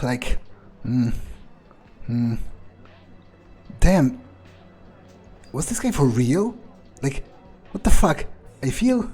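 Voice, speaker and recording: A man improvising in character as a newly turned vampire in a game, bewildered, irritable and foul-mouthed, muttering in halting, incredulous repetitions with a husky voice. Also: fairly high pitch, warm tone, exaggerated voice.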